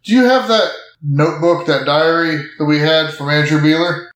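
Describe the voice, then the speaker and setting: A man with a fairly high voice plays a tabletop role-playing game. He describes his actions in a casual, conversational first person, with clear room echo around the voice. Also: normal speed, fairly bright tone. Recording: roomy, echoing room sound.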